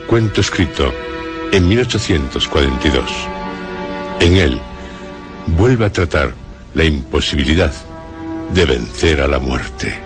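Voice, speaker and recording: A man with an accent from Spain, narrating scripted horror radio as a host, dramatic and suspenseful, with deliberate long pauses. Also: very deep voice, animated. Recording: music bed under the voice. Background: music.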